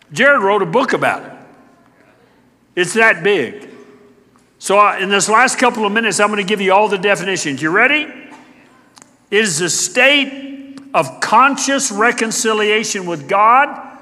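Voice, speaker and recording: A middle-aged man preaching a sermon to a church congregation, talking emphatically and swinging between loud declarations and hushed asides. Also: high voice, lively intonation, unhurried, pauses often, in a large hall. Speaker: pastor. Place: church sanctuary.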